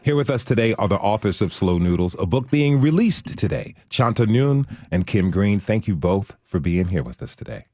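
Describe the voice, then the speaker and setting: A man's voice: on the low side, animated, normal speed, hardly any pauses, darkish tone, dry recording, clean studio sound. A man hosting a radio show, reading introductions and interviewing guests. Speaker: radio host.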